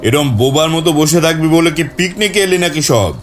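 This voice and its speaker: A man voicing a loud, bossy middle-aged uncle in an audio story, scolding in banter. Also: fairly high pitch, lively intonation, normal speed, close to an everyday voice. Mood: teasing scolding.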